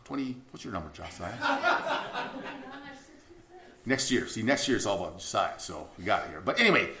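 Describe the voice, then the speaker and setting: Animated, chatty preacher, echoey church. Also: male voice, on the low side, moderate pace. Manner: animated, chatty, with stumbles and restarts. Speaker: pastor. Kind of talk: sermon. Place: church sanctuary. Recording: echoey church room, slightly dull sound.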